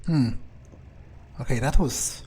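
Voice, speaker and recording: A man's voice: mid-range voice, takes it slowly, darkish tone, a little hiss or hum. A man playing a video game in character as a vampire, musing aloud in a smug, menacing, drawling way.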